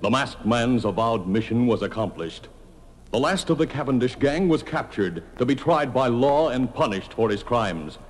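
A man's voice: mid-range voice, lively intonation, normal speed, a little hiss or hum. A man narrating an old-time radio drama in a dramatic, scripted style. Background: dramatic music.

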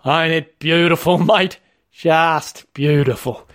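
A man's voice: on the high side, takes it slowly, a bit bright. A man voicing an officious, matey Australian male shop trainer for a comic story, with a blokey, rule-bound manner. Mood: jovial, matey. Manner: blokey, officious, laying down rules.

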